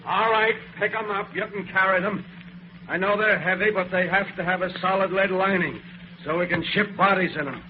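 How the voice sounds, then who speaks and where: An actor playing a domineering villainous mine boss who gives curt orders in an old-time radio drama. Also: male voice, sits high, fairly even intonation, normal speed, close to an everyday voice. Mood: brisk, commanding. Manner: curt, bossy, clipped orders. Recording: tinny, narrow vintage radio recording, faint noise.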